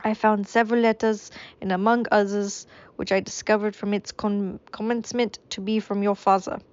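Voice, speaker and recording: A woman voicing a kindly, gentle male magistrate, putting on a foreign accent and speaking slowly and carefully in a dull, dry recording. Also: medium pitch, steady tone, close to an everyday voice. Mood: sympathetic.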